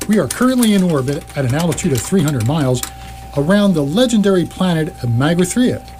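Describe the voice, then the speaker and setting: Woman as relentlessly chirpy, lively shipboard computer. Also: deep voice, fairly quick, slight background noise. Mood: eager. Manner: gushing, folksy cheerfulness. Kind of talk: stage radio comedy drama.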